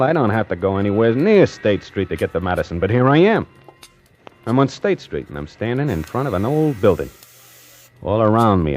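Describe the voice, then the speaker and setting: Streetwise, restless salesman narrator, low voice with big swings, 1940s radio suspense, music behind. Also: a little mellow. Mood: restless, wry. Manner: streetwise, colloquial, dramatic. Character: slangy, restless, hard-up male necktie salesman narrating his troubles. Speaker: lead actor and narrator of a radio suspense play.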